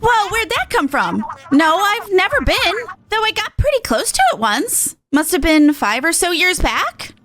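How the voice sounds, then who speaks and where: A woman voicing a brash, peppy young female rapper drone from a video game, in a punchy, slangy, excitable manner. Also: very high voice, a little slow, few pauses, a crowd in the background, pitched above the performer's own voice, exaggerated voice. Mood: excited.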